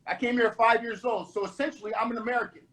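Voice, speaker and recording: A middle-aged man from the Bahamas making forceful, preachy points in a heated panel debate, repeating himself for emphasis. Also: very high-pitched, fairly even intonation, rapid-fire, rough recording.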